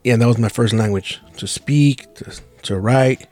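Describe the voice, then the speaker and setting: A man's voice: mid-range voice, a little slow, warm tone, soft background music. A man in his forties who grew up in the Los Angeles area with Spanish as his first language, co-hosting a podcast in blunt, profane, rambling chat. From Los Angeles area, California. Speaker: podcast co-host. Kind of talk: casual chat.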